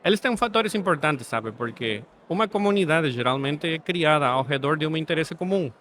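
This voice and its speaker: A man from Guatemala with a Spanish accent, speaking Portuguese as a second language as a podcast guest, measured and thoughtful. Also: a little high, lively intonation, fairly bright tone, slight background noise. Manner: thoughtful, measured, ends points with "sabe?". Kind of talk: podcast interview. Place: studio setting.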